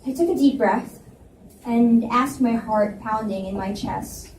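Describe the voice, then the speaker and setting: A woman's voice: a little high, a little slow, slight background noise. Woman reading aloud in echoey room. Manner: expressive narration with dialogue. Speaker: reader, first-person narrator. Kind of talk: live reading of romance novel. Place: library event room. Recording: echoey library room, mic at a distance.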